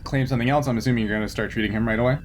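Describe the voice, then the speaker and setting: A man's voice: mid-range voice, steady tone, slight background noise. Hesitant man running a game. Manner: hesitant, halting explanations. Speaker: game master. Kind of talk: running a tabletop sci-fi game, casual.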